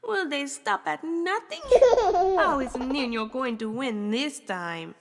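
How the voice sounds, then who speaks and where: A woman's voice: high-pitched, expressive, slow, big-room sound, noisy background. A woman storyteller reading a children's picture book aloud in a theatrical way, moving between whispers and exclamations.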